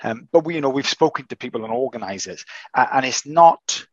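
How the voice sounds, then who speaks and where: A man with a croaky, raspy voice after illness, co-hosting a casual chat and often repeating words while he thinks. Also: mid-range voice, expressive, a little fast, fairly bright tone, no room sound, highs cut off. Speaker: podcast co-host.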